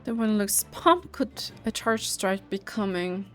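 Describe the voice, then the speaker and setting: A woman's voice: mid-range voice, measured pace, faint music behind. A woman giving casual gameplay commentary, reading game text aloud and cheering her pet with giggles. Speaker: gaming video creator.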